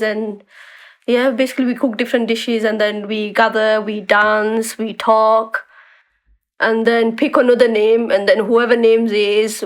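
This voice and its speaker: Chatty young woman, high-pitched. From born in a refugee camp in Bangladesh, raised in the UK. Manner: chatty, many likes and restarts. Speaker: guest. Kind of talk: casual conversational interview.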